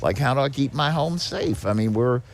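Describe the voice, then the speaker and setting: A man's voice: medium pitch, lively intonation, moderate pace. A man talking conversationally as a podcast guest, explaining things and often repeating or restarting his words. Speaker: guest, director of a seniors' charity.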